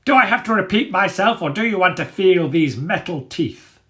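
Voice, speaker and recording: A man's voice: sits high, animated, normal speed, a bit bright, pitched above the performer's own voice. A man voicing a cruel, menacing war dog who barks scornful orders in a storybook reading. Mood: commanding anger. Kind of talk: dramatic storybook character reading.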